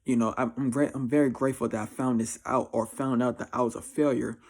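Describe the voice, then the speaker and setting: A man's voice: mid-range voice, level tone, fast talker. A man in his mid twenties with an American accent, giving a casual, slangy monologue in a blunt, emphatic way, often repeating phrases. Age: twenty-four. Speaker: vlogger giving life advice. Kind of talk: casual confessional monologue to camera.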